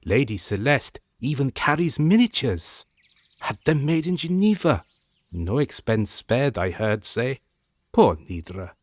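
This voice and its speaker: Servant role: young gossip, deferential, theatrical, lively swooping pitch, dry close sound. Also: male voice, unhurried, no room sound. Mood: gossipy, pitying. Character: gossipy, sympathetic young male palace servant. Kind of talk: radio drama acting.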